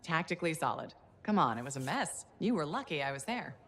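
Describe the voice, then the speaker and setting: A woman's voice: low voice, very animated, a little fast, a bit bright. An actress playing a capable, frank female hero in a video game, with a direct, wry manner. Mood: wry, concerned. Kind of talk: video game cutscene acting.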